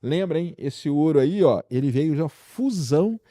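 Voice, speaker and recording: A man's voice: a little high, animated, normal speed, warm tone, no echo, studio quality. A man with a Brazilian accent hosting a casual science chat, addressing the audience with rhetorical questions and everyday examples. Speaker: science show host.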